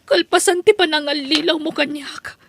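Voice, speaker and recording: A woman's voice: high voice, steady tone, moderate pace, creaky, noisy background. A woman with an Ilocano accent playing a betrayed young wife, wounded and bitter, speaking emotionally in a radio-style family drama. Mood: angry, bitter. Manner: emotional, plaintive.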